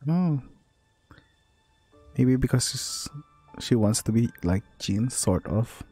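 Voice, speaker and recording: Male gamer for casual commentary: unhurried, dark-toned, halting, with music behind. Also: medium pitch, animated, husky. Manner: halting, musing. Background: game music.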